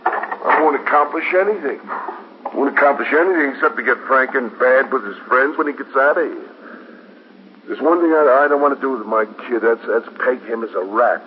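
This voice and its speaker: An American actor playing a stubborn, defensive middle-aged father in a 1950s radio drama, halting and repeating himself. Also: mid-range voice, close to an everyday voice. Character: stubborn, defensive father of a teenage boy, shaken. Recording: muffled, hissy 1950s radio recording.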